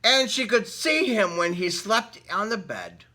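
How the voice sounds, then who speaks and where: A man's voice: high voice, lively intonation, a little slow, pushed and bright. A man reading a picture book aloud to children in a playful way, asking them questions along the way. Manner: playful, addresses young listeners with questions. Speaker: children's story reader. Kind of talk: animated picture book read-aloud with asides to listeners.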